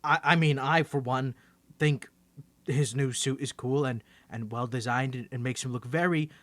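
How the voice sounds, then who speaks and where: A man's voice: mid-range voice, fairly even intonation, a bit bright, no echo, lightly acted. A man playing a nervous, bantering young man with a secret superhero identity, stammering through an ASMR roleplay to the listener. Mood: evasive, flustered. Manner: stammering, flustered, halting.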